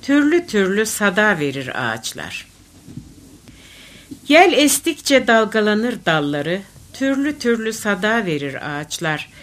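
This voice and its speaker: Female poetry reader: unhurried, declamatory recitation of folk verse with a lively, wide-moving pitch.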